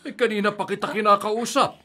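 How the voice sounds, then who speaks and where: A woman's voice: mid-range voice, fairly even intonation, fast, sharp tone, faint music behind. A woman playing a middle-aged husband who nags and teases his wife in a radio drama.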